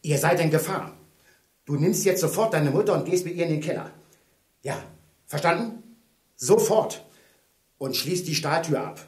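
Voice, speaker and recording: An actor playing a brisk, commanding detective in a children's audio drama. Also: male voice, a little high, lively intonation, darkish tone, lightly acted. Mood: urgent. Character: brisk, commanding detective giving urgent warnings. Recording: echoey room.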